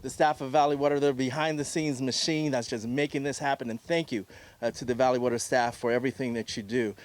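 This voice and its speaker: Man, mid-pitched voice, formal podium host, thanking guests. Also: steady tone, fast talker. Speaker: host, agency CEO. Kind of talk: press conference hosting.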